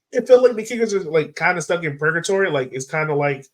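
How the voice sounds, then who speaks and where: A man co-hosting a sports podcast in informal, halting chat peppered with filler words. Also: on the high side, expressive, a bit bright.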